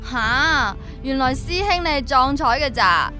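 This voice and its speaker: A woman plays a young female double agent in a Cantonese radio drama, with a Hong Kong accent. Her voice is high and reactive, full of sassy, impressed exclamations over faint music. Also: expressive, unhurried, bright, forceful tone, heavily acted. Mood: amazed.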